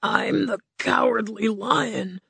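A narrator voicing a fearful male lion, speaking softly and higher than the narration. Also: slow pace, vocal fry, breathy edge, full character voice. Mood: timid. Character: timid male lion, always afraid.

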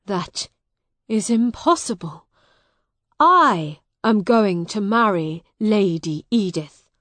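Woman voicing a pleading long-lost brother, very slow. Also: fairly high pitch, no room sound. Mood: disbelieving. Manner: emphatic, imploring. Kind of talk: graded audiobook character dialogue.

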